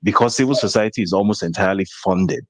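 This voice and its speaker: Deep-voiced man speaking in dry sound. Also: quick pace, no echo.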